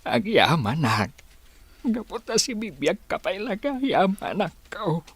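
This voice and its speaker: A man with an Ilocano accent playing a frail, sorrowful old village father in a radio drama, speaking slowly and pleadingly. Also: sits high, very animated, a bit bright, breathy edge, no echo, exaggerated voice. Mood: tearful, pleading. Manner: slow, emotional, pleading. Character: frail, sorrowful old village father near death, longing for his daughter.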